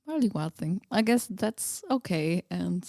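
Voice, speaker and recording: A woman speaks in a low register with plenty of creak. Her delivery is informal and a bit hesitant, like a musician chatting in a casual interview. The recording is close and dry. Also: lively intonation, unhurried, a little mellow, dry recording. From Austria. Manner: laughs easily, hesitant and informal.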